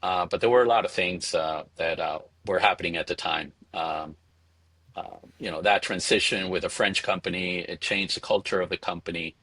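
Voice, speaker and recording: A middle-aged man from Venezuela answering interview questions conversationally, in a halting way, repeating words as he thinks. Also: low voice, bright, forceful tone, breathy edge, no echo. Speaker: interview guest, spirits entrepreneur.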